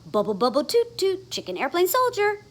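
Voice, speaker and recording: A woman voicing an excitable, anxious young boy frog in a children's storybook, exclaiming in eager bursts. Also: very high voice, normal speed, pitched above the performer's own voice, heavily acted. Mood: proud, singsong. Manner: exclaiming, yelping, loud bursts. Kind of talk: children's storybook read-aloud.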